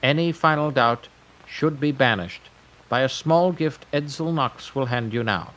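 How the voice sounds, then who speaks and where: A man playing a grandiose, eccentric tycoon pitching his pleasure empire in a declamatory audio drama monologue. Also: a little slow, fairly bright tone, natural voice, rough recording. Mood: commanding, courting.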